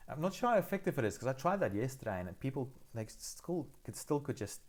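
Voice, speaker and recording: A man's voice: mid-range voice, very animated, brisk, a little mellow. A middle-aged man from South Africa, whose first language is Afrikaans, hosting a casual live Q&A from his phone and answering viewer questions thoughtfully, with many fillers and restarts.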